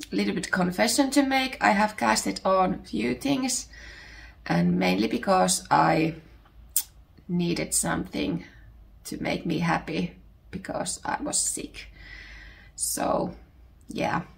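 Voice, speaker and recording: Gravelly, slow Finnish woman. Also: medium pitch. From Finland. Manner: unhurried, searches for words. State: voice still recovering from illness. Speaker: podcast host. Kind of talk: casual chat. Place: home.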